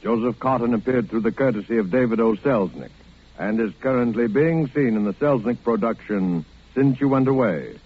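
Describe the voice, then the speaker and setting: A man reading a scripted 1940s radio closing announcement, husky, on a tinny, narrow old recording. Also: medium pitch, moderate pace.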